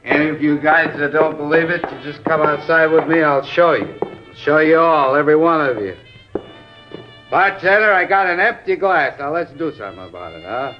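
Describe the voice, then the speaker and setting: A man's voice: a little high, expressive, moderate pace, soft background music, lightly acted. Casting: male actor as blustering American drunk tough, slurred, repetitive, old radio crime serial, dull vintage recording. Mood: drunk, belligerent. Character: drunk, belligerent short stocky thug, boastful murder suspect. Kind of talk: 1950s radio crime drama acting.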